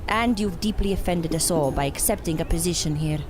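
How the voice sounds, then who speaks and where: A woman's voice: on the low side, over music, close to an everyday voice. Woman acting a cold, haughty circus mystic. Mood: scornful. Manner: deliberate, theatrical, cutting. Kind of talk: audio drama acting.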